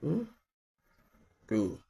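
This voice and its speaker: A man from Congo with a Congolese accent and a husky voice, speaking insistently as a live-stream host, repeating phrases and asking rhetorical questions. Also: drawn-out words, dark tone. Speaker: online activist host. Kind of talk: live-stream monologue in colloquial speech, with a phone call.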